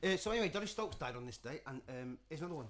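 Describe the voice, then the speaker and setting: Lively, fast-talking joking man. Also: fairly high pitch, background noise. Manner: laughing, joking, stammering restarts. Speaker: co-host of a live stream. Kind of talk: casual banter.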